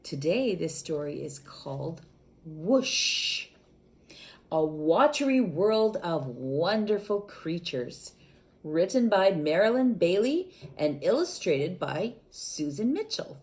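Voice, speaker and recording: Animated woman reading to children. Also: fairly low pitch, slow. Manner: animated, asks children questions, whispers. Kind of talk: children's storybook read-aloud.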